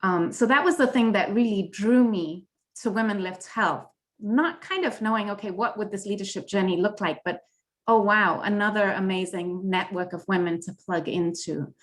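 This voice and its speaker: Woman, middle-aged, mid-pitched voice, earnest and animated, panelist on a video call. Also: normal speed. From Kenya and Tanzania. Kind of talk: panel discussion.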